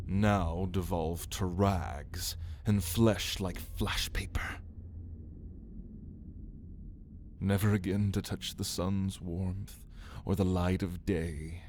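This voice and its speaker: Guy doing a caged old vampire lord for asmr, super slow n deep, breathy whispery, refined but menacing and hungry. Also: steady tone, darkish tone, slight background noise. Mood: regal, wistful. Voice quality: breathy, often dropping into a whisper. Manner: theatrical, archaic phrasing, menacing, drawn-out and whispery. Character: caged ancient male vampire, refined, hungry, fallen former ruler. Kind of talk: ASMR roleplay monologue spoken to the listener.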